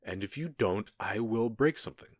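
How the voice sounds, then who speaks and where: A man in an ASMR roleplay playing a menacing, gloating outlaw gang boss who taunts with mock politeness and deliberate pauses. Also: lowish voice, expressive, no echo, studio quality. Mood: coldly threatening.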